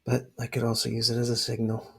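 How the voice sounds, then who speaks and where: A man speaking softly for ASMR close to the microphone, breathy and often near a whisper, in a hesitant, rambling murmur. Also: mid-range voice, completely flat delivery, fast. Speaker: ASMR creator playing a spy dad showing gear. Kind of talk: ASMR roleplay, soft-spoken.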